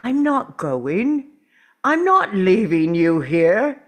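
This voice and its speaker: An actor in a script table read playing an urgent, stubborn, protective time traveller, speaking earnestly and deliberately. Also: medium pitch, big pitch swings, a bit bright, exaggerated voice. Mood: desperate, stubborn.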